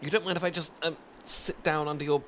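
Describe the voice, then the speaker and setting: A man's voice: a little high, fairly even intonation, quick pace, a bit bright, a little hiss or hum. A British man in an ASMR roleplay, talking in a flustered, stammering, self-deprecating way with nervous laughs and sighs.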